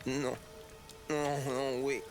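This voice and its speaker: A man's voice: medium pitch, flat delivery, extremely slow. An actor playing a terrified street thug pleading for his life in a crime game. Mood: terrified, begging. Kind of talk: cinematic crime game acting. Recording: music and rain behind the voice.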